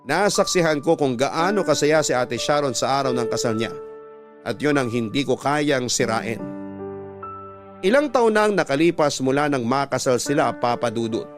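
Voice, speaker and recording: A man's voice: medium pitch, expressive, moderate pace. A man with a Filipino accent reading a letter as scripted, sorrowful, reflective radio drama narration. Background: music bed.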